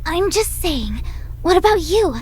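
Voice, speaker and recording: Earnest, very high-voiced young girl. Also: big pitch swings, unhurried, a little hiss or hum, exaggerated voice. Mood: eager. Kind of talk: visual novel voice acting.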